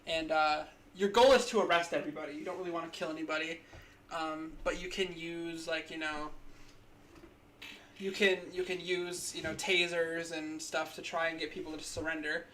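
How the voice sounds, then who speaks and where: A woman's voice: deep voice, fairly even intonation, normal speed, a bit bright, a little hiss or hum. A woman in her twenties co-hosting a casual podcast, rambling with lots of likes and ums. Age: 20s. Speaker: podcast co-host.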